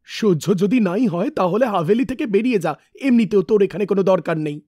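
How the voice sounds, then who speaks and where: A performer voicing a cruel, cowardly rich moneylender in an animated story, speaking harshly to his family. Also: medium pitch, a little fast, dry recording, studio quality, natural voice. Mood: scornful. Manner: harsh and scornful, growing scared.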